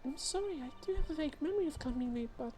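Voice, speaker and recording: A woman's voice: high voice, lively intonation, very fast, warm tone, soft background music. A woman voicing an anxious, confused young woman in a game fan dub, speaking hesitantly and earnestly. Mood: confused, apologetic. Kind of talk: fan-dubbed game dialogue.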